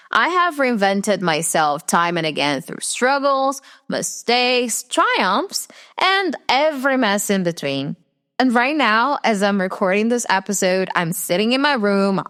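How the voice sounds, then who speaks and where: Lively-voiced Brazilian woman podcast host. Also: medium pitch. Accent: Americanized English with Brazilian Portuguese roots. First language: Portuguese. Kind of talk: scripted solo podcast monologue. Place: her room.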